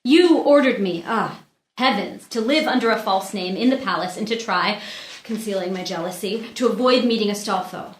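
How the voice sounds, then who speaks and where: A woman's voice: mid-range voice, normal speed, a little hiss or hum, close to an everyday voice. An actress playing a proud, wronged young noblewoman in a read-through of a classical play, with earnest, declamatory delivery. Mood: pained. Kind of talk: unrehearsed stage play reading. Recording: roomy echo.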